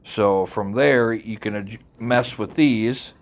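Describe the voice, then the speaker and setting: A man narrating an unscripted software tutorial, informally walking the listener through it step by step. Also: on the low side, slow, slight background noise. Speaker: tutorial presenter.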